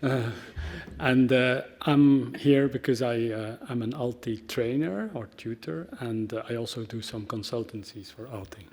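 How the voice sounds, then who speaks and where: A man's voice: medium pitch, unhurried, a little mellow. A man from Belgium, a trainer and consultant, speaking informally on a panel in a reverberant hall. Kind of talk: informal self-introduction on a panel.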